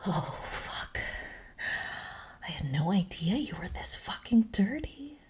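A young woman speaking in a breathy, intimate whisper with soft sighs, close to the microphone. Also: mid-range voice, sing-song, slow. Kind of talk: whispered audio roleplay.

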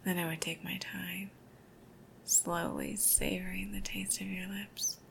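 Whispering woman with a gravelly edge. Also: lowish voice, flat delivery, measured pace, slight background noise. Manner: soft intimate whispering, affectionate. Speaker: ASMR roleplay performer. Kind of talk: whispered ASMR girlfriend roleplay.

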